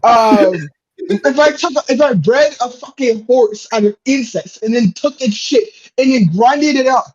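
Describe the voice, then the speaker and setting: A man's voice: very high-pitched, a little slow, a little mellow, breathy edge. A man in a casual podcast chat, boisterous and slangy, swearing heavily and repeating himself for emphasis. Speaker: podcast guest. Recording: dull lo-fi microphone.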